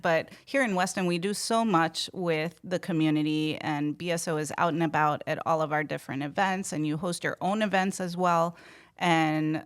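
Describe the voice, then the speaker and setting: A woman's voice: on the low side, clean tone, no echo, clean studio sound. A woman co-hosting a podcast for a city communications team, upbeat and affirming in casual talk.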